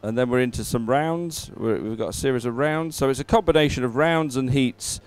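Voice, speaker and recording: Casting: male live event commentator, smooth clear voice, informal and chatty, hesitant thinking-aloud delivery. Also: medium pitch, a little fast.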